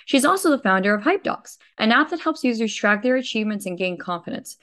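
A woman's voice: fairly high pitch, expressive, fast talker, a bit bright. A woman hosting an interview podcast, asking questions in a friendly, upbeat way. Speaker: podcast host.

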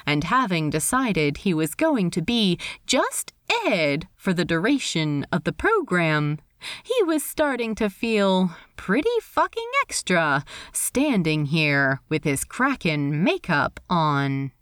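A woman narrating a story aloud as an expressive audiobook reading. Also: fairly low pitch, slow, dry recording, studio quality. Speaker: fan fiction reader.

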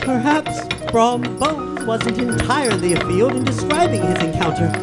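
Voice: medium pitch, normal speed, over loud music, close to an everyday voice. An actor playing a timid, pompous, superstitious schoolmaster in a theatrical audio drama, florid and melodramatic, given to stammering. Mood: nervously formal. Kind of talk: theatrical audio drama of a classic ghost story.

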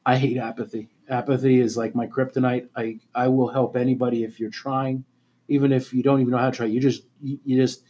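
A middle-aged man, a high school principal, talking about himself in a casual interview, earnest and often restarting his sentences. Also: level tone, brisk, mellow, breathy edge. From born in Alabama, raised in Germany.

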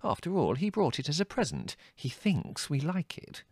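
A man voicing a polite, worried middle-aged mother in a storybook reading, gentle and placating. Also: medium pitch, sing-song, brisk, no room sound, studio quality, voice pushed up.

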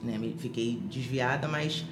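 A woman's voice: extremely low pitch, a little slow. A woman from Rio de Janeiro, speaking, giving her personal testimony as a podcast guest with many fillers and restarts. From Rio de Janeiro, Brazil. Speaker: interview guest. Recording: echoey room with music behind.

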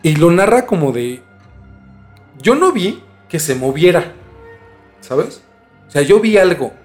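Mexican man, fairly high voice, informal podcast storyteller, loose and stumbling phrasing, faint music underneath. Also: sing-song, a little mellow. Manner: informal, halting, mildly profane. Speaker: podcast host. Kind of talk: casual storytelling discussion.

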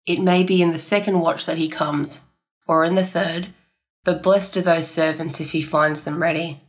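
A woman reading scripture aloud in a solemn, measured and formal way. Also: low-pitched, fairly even intonation. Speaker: scripture reader.

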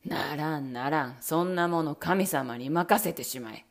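A woman's voice: sits low, measured pace, creaky, voice pushed down. Woman doing a bellowing cruel villain husband. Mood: sneering. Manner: bellowing, sneering threats.

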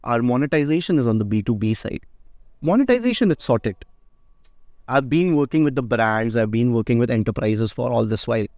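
A man's voice: medium pitch, animated, brisk, a little mellow, no echo. A man, a startup founder, answering interview questions in a casual, emphatic way and often asking "right?". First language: not Indonesian. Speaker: startup founder and CEO, guest.

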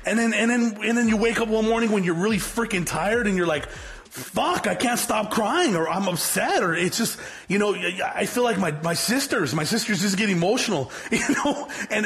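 A man's voice: sits high, animated, moderate pace, fairly bright tone. A man in his forties giving an unscripted motivational talk to his viewers. He talks in a blunt, fiery way, swears freely and often restarts his sentences. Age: 40s. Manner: rambling, repetitive, frequent swearing and restarts. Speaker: motivational vlogger, business owner.

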